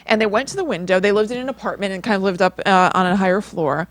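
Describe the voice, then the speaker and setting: A woman's voice: medium pitch, quick pace. Give a woman with an American accent and an ordinary mid-range voice. She is a podcast co-host telling a story conversationally. Her way of talking is informal and chatty, as if among friends.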